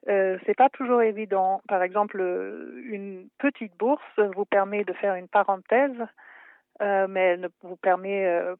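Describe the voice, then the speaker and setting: A woman's voice: mid-range voice, no echo. Hesitant woman with a German accent over a phone line. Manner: hesitant, many filler pauses. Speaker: photography historian and translator. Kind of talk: interview answers. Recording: tinny dry phone line.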